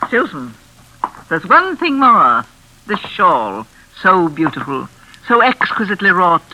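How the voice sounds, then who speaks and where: An actress playing a deranged older mistress of a lonely house in a 1940s radio thriller, with genteel, deliberate menace. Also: female voice, fairly low pitch, sing-song, sharp tone, heavily acted. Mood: eerily sweet. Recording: crackly 1940s radio broadcast.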